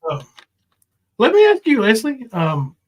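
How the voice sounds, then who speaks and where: A man's voice: very high-pitched, wildly swooping pitch, unhurried, lo-fi sound. A man hosting a live panel stream, chatting casually in a folksy, rambling way. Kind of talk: casual livestream chat.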